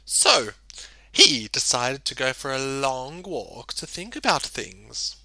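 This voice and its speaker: A man reading a children's story aloud in an expressive, theatrical way, with emphatic delivery and dramatic pauses. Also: medium pitch, no room sound. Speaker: storybook reader.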